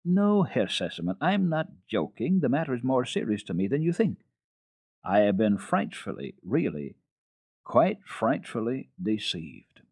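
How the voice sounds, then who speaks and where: A man's voice: mid-range voice, sing-song, unhurried, a little mellow, husky, dry recording, studio quality, pitched above the performer's own voice, exaggerated voice. A man voicing a prim, fretful middle-aged housekeeper with a pompous, emphatic manner in a children's storybook reading. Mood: indignant, alarmed.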